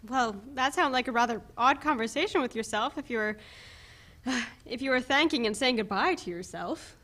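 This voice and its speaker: Woman playing a high-voiced, fond mother. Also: moderate pace, pushed and bright. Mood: puzzled. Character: fond, nostalgic middle-aged mother. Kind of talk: dramatic reading of a student play.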